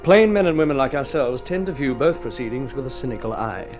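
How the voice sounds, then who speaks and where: A man's voice: mid-range voice, expressive, brisk, a little mellow. A man hosting and narrating a vintage radio drama series in a formal, urbane, theatrical manner. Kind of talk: 1950s radio scripted narration. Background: music.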